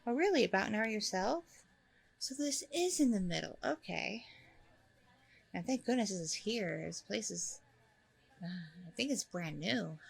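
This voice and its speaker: A woman's voice: medium pitch, animated, normal speed, vocal fry, long pauses, breathy edge. A woman playing a chatty, apologetic blind date in an ASMR audio roleplay, rambling along casually and a little hesitantly. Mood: friendly, chatty.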